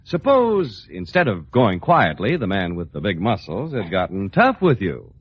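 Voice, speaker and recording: Swooping, sly radio troublemaker. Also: male voice, on the low side, wildly swooping pitch, normal speed, breathy edge, heavily acted. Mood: sly goading. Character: sly, goading male family friend, mock-sympathetic troublemaker. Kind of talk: 1940s radio comedy acting. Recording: dull vintage 1940s radio recording.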